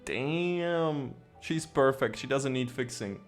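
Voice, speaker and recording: A man's voice: mid-range voice, takes it slowly, faint music behind. A male streamer reacting to a game in a casual, wry way, swearing now and then. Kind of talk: live gameplay reaction.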